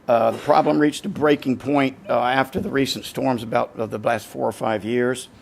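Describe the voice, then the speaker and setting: A man gives public comment at a county meeting as a local resident. His voice is gravelly and worn, close to failing, and he talks earnestly while doubling back over repeated words. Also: medium pitch, fairly even intonation, normal speed. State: losing his voice. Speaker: resident speaking at public hearing.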